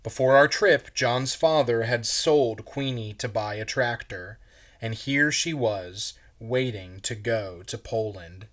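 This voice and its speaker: A man reads a children's story aloud as a pastor would to young listeners. His pace is very slow and measured, and his voice sits at an average male pitch. The delivery is expressive, shaped for children.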